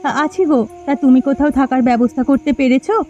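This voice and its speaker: A woman's voice: high-pitched, brisk, warm tone, soft background music, natural voice. A woman voicing a patient, practical village mother in a story cartoon, on a dull lo-fi recording. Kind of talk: Bengali moral story cartoon dubbing.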